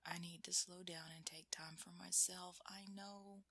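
Woman acting a breathy, whispering, vulnerable girlfriend. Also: fairly low pitch, level tone, bright, forceful tone, no echo, heavily acted. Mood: soothed, apologetic. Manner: halting, stammering, intimate. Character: shaken, affectionate girlfriend woken by a nightmare. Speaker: audio roleplay performer. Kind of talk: ASMR girlfriend roleplay, whispered.

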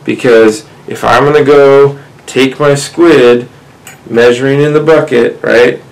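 Casual outdoors host in his thirties, gravelly and mid-pitched, talks to viewers at a desk webcam. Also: fairly even intonation, slow pace, low-quality recording. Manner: conversational, addresses viewers as guys, frequent "right?". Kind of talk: casual gear tutorial to camera. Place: small indoor room, seated at desk.

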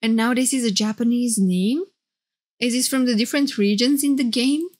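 A young woman streamer giving casual, excitable, gushing live reaction commentary. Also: fairly high pitch, moderate pace, breathy edge. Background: game trailer music.

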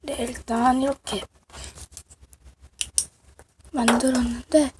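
A woman with a cold that makes her voice sound off, casually showing handmade crafts in a halting, apologetic, self-deprecating way. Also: sits high, fairly even intonation. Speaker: craft video creator. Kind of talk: casual show-and-tell of handmade clay miniatures. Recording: noisy amateur recording with clicks.

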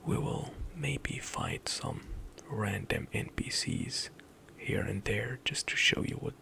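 Young male ASMR gamer, slow gravelly whisper, close-miked. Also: on the low side, huge pitch swings. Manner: soft whispered rambling with light laughs. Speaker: ASMR gaming streamer. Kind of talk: whispered ASMR gameplay commentary. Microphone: close studio mic, headset on.

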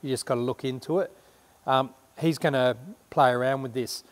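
A man's voice: medium pitch, steady tone, normal speed, big-room sound. A middle-aged man, chatting casually to camera in a big workshop, rambling and correcting himself as he explains. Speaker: renovation vlogger. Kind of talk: casual show-and-tell to camera. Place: large workshop shed indoors.

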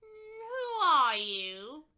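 Woman as wary doorkeeper, swooping intonation, bright tone, unhurried, probing, radio play. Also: sits high, wildly swooping pitch, exaggerated voice. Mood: suspicious. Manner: suspicious, probing. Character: suspicious gatekeeper to an old witness. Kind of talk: amateur radio drama acting.

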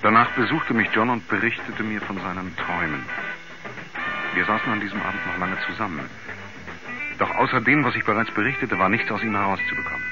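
An actor playing a sober, inquisitive man who narrates his investigation in a measured, matter-of-fact way in a radio drama. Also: on the low side. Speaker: first-person narrator and investigator. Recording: muffled 1970s radio play recording.

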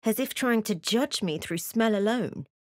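An actress playing a watchful, introspective female fantasy adventurer, narrating her journey in measured, literary game prose. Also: mid-range voice, expressive, no room sound, clean studio sound, close to an everyday voice. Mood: reflective. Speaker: video game character. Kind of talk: first-person past-tense scripted game narration.

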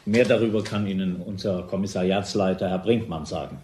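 A low-voiced, sober police spokesman, played by a man in a radio crime drama. He talks formally and in measured phrases, in a noisy, roomy recording. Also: steady tone, darkish tone, natural voice. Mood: sober, official. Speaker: actor. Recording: roomy sound with clear noise.

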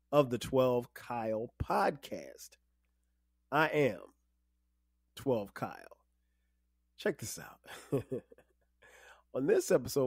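A man in his fifties from South Carolina, hosting a solo podcast in a relaxed, conversational way with many fillers. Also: mid-range voice, no room sound, clean studio sound. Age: 50s. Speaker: podcast host. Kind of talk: casual solo podcast monologue.

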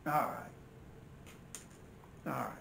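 An older American man with a folksy way of thinking aloud, casually narrating as he works at a repair bench. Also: extremely slow. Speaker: electronics repair hobbyist and video host. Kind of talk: casual muttered narration while working at repairs. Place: indoors at a repair workbench. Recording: steady noise, home-made workbench recording.

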